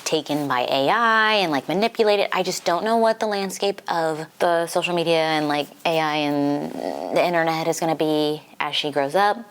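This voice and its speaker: A young woman with a low voice talks casually to her viewers as a book vlogger. She sounds a little stuffed up from a recent flu, and her delivery is informal and giggly, peppered with "like". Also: lively intonation, normal speed. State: recovering from flu, slightly congested. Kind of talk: casual chatty life-update vlog.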